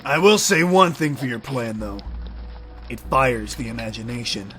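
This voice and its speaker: A man's voice: medium pitch, expressive, normal speed, a bit bright, natural voice. An actor playing a shrewd, folksy, grizzled leader of vampire-hunting outlaws in a fantasy audio drama, needling as he talks. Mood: persuasive. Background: music.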